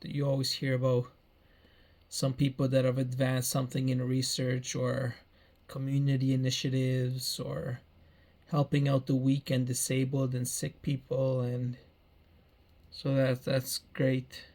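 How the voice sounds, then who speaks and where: A man's voice: mid-range voice, completely flat delivery, a little slow, a little mellow. A man in his early thirties giving a meandering personal life update, often repeating himself and restarting phrases. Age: 30s. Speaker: vlogger.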